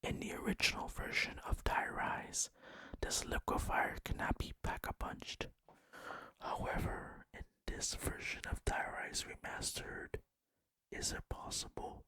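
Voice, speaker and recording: A man whispering breathily and deliberately into a close microphone as he hosts an ASMR gaming commentary. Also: bright, forceful tone, dry recording. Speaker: gaming video host.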